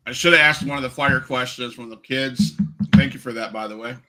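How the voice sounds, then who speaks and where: A man's voice: medium pitch, brisk, bright, forceful tone. A man hosting a wrestling podcast, asking questions casually and reading out messages he got. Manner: friendly, reads questions and texts aloud. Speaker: podcast host.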